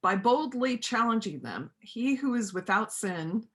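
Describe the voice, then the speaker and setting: A woman performing a reader's theater part as a gentle but firm pacifist country vet, earnest and plain-spoken. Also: fairly high pitch, lively intonation, measured pace. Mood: persuasive. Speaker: amateur church play performer.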